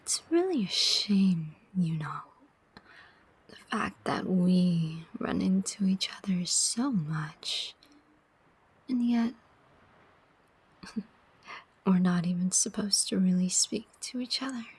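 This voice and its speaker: A woman voicing a world-weary, sardonic demon who collects souls, in a breathy whispered ASMR roleplay with a deliberate, teasing delivery and many pauses. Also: on the low side, animated, full character voice, poor audio. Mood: teasing, wry. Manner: slow, deliberate, teasing, pausing often.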